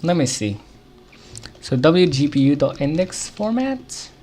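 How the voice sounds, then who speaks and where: A man with an Arabic accent thinking aloud while debugging code on a live coding stream, muttering to himself with quick chuckles. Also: fairly high pitch, very animated, mellow, faint music behind.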